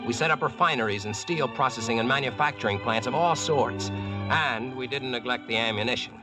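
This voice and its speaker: An actor playing a confident, plain-spoken American Yankee engineer in old-time radio drama style. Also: male voice, medium pitch, a little fast, lightly acted, rough recording. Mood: resolute. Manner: plain-spoken, folksy, self-assured. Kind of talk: 1940s radio acting, narration and dialogue. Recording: old radio drama recording, music behind.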